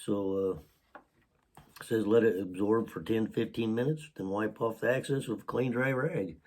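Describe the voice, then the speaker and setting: A man hosting a casual unboxing vlog while sick with a cold, hoarse, halting, often clearing his throat, with a Southern US accent. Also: fairly low pitch, a little mellow. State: sick with a cold, voice partly lost. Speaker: channel host.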